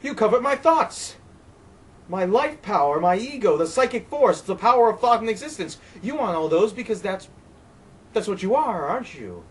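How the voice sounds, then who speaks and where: A man's voice: big pitch swings, moderate pace, slightly rough, far from the mic, full character voice. A man voicing a frantic, terrified man who rages and pleads against the wind. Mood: feverish. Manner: shrill, shouting, panicked.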